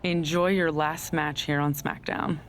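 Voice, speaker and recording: A woman's voice: low voice, fairly even intonation, a little slow. Smug villain lady gm from a wrestling game, mocking and condescending, bit of background noise. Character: smug scheming female wrestling general manager, a villain. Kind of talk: scripted video game cutscene acting.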